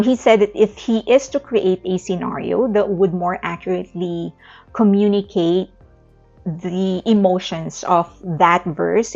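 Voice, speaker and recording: Filipino-accented woman, gravelly, thoughtful explainer; slightly dull recording with faint music under it. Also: fairly low pitch, darkish tone. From Philippines. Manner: thoughtful, explanatory, with small restarts. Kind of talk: reflective video essay talk.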